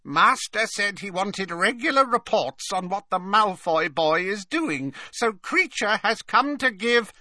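A croaking old storybook house elf, pitched high with forceful delivery. He sounds sullen and servile, yet haughty. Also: expressive, measured pace, few pauses, dry recording, clean studio sound, full character voice. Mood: grudging.

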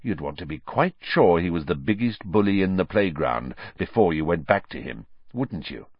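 Guy doing a low menacing growly fugitive man in a storybook, scornful and taunting, slightly muffled. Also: lively intonation, slightly rough, no room sound, heavily acted. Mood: contemptuous. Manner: slow, taunting, contemptuous. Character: bitter, menacing adult male fugitive, vengeful and scornful.

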